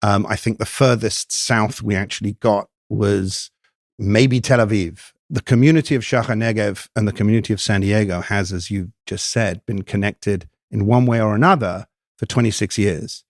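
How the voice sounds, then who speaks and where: An older man from the United Kingdom with an English accent, a podcast guest talking in a thoughtful, measured way with many ums and restarts. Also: fairly low pitch, no room sound, studio quality. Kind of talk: podcast interview.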